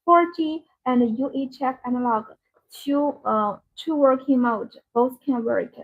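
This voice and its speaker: Female live-stream seller, high-pitched with a breathy rasp, halting and repetitive non-native delivery, unscripted demo style. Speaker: live-stream sales host demonstrating a product. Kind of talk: unscripted live product demonstration. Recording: dull, band-limited live-stream audio.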